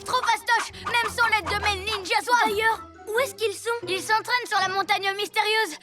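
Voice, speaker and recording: A woman's voice: extremely high pitch, fairly even intonation, moderate pace. A woman voicing a cocky, bossy young ninja master in a cartoon dub, bragging and grumbling. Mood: boastful. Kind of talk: animated cartoon dub acting. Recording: constant loud music underneath. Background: loud cartoon score.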